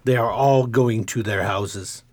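A man's voice: fairly low pitch, a little slow. A man playing a genteel, brave old stage magician in a radio play, with a formal, avuncular delivery. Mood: calm, grave.

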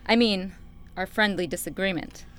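A woman's voice: medium pitch, normal speed, a bit bright, lightly acted. A woman playing a competitive, combative starship doctor in a parody radio play.

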